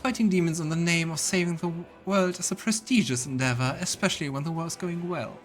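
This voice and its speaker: A man's voice: on the high side, quick pace, faint music behind, voice pushed up, close to an everyday voice. A man voicing a courtly, formal and persuasive female diplomat while reading game dialogue.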